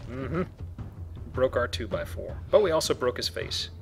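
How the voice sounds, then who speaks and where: A man's voice: mid-range voice, expressive, moderate pace, loud music behind the voice. A man hosting a casual let's play, chatting as he plays a video game. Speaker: video game player and host. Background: video game sounds.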